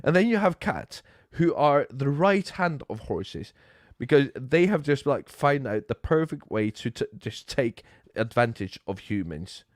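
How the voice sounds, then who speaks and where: A man's voice: mid-range voice, measured pace, no echo, clean studio sound. A man with a Norwegian accent in casual chat, ranting playfully and swearing, recovering from flu. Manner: animated, swearing, playful rants. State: sick with flu, drinking. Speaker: podcast guest.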